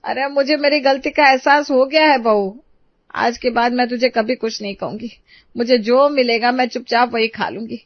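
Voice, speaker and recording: A woman voicing an older mother-in-law in an animated moral story, fretful and exclaiming. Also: a little high, normal speed, no room sound, muffled recording, voice pushed up, lightly acted. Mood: contrite.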